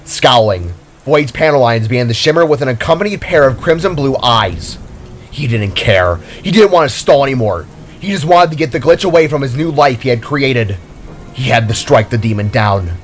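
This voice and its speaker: A man's voice: mid-range voice, animated, moderate pace, a little hiss or hum. A man reading scripted dramatic narration as the narrator of a fantasy story.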